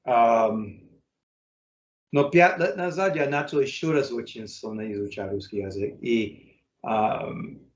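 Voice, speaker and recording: An American man, a native English speaker, speaking learner's Russian in a casual interview, halting with many fillers and self-corrections. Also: medium pitch, roomy sound, dull audio. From United States. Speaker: interview guest, English teacher.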